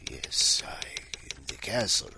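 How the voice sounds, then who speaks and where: A man's voice: slow pace, whispered, close to an everyday voice. A man playing a comic three-hundred-year-old Count Dracula with a mock Eastern European accent, hesitant and digressive as he hosts. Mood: rambling, grumbling. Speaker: host of a weekly story challenge. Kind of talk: comic ad-libbed monologue.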